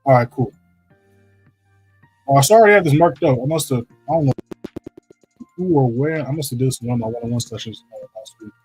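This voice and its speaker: A man with a deep voice hosting a live trading stream, talking casually through charts and often checking in with "right?". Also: fairly quick, pauses often, darkish tone, breathy edge, faint music behind, poor audio. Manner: loose, repeats "right?" to check in with viewers. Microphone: streaming setup microphone.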